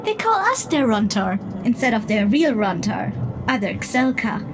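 A playful, immortal female spirit speaks in a very high voice. She teases and condescends in a fantasy audio drama, giggling as she goes, over clearly audible ambient noise. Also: big pitch swings. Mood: teasing, amused. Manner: giggly, teasing, drawn-out condescension.

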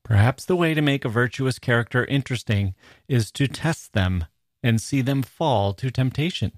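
A man presents a podcast in a mid-pitched voice with a very dry, close sound. He reads to listeners casually, with a playful touch of mock seriousness. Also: expressive, normal speed, dry recording. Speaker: podcast host. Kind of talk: casual, scripted ad reads and listener email reading.

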